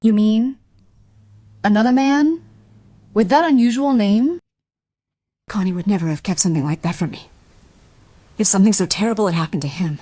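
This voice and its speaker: A woman's voice: medium pitch, normal speed, pauses often, warm tone, no echo, lightly acted. A woman playing an anxious wife full of doubt in a radio drama, speaking in an insistent, questioning way. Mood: disbelieving. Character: anxious musician's wife doubting her husband's innocence. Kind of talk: dramatic radio play dialogue and monologue.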